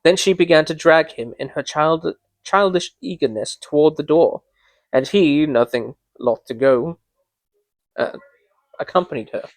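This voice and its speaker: Male storybook narrator, fairly high voice, dramatic reading style, recorded dry. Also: measured pace, dry recording. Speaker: amateur reader of a classic story.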